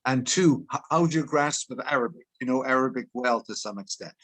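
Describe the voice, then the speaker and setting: A man's voice: medium pitch, a little fast, a bit bright. A man co-hosting, asking the guest casual questions. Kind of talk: interview questions.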